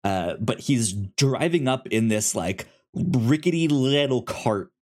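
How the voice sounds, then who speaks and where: Halting, slow-paced man chatting about films. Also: medium pitch, expressive, darkish tone. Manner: halting, stammering, repeats words. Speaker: podcast co-host reviewing films. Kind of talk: casual movie discussion.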